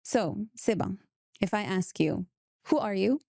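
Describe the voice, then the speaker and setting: Mellow-voiced woman hosting an interview. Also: mid-range voice, lively intonation, measured pace, gravelly, no room sound, clean studio sound. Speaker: podcast host. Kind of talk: conversational interview.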